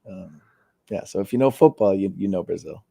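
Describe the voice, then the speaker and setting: A man in his thirties, from Costa Rica, chatting casually as an interview guest, relaxed with many fillers and repeated words. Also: low-pitched, a little fast, creaky, breathy edge, dry recording, studio quality. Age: 30s.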